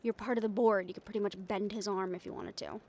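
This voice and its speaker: A woman's voice: medium pitch, fairly even intonation, fast talker, darkish tone, a little hiss or hum, lightly acted. A woman playing a brash, stubborn, ambitious young reporter in an improvised audio roleplay, with a sarcastic, cocky, conversational delivery. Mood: insistent, persuasive.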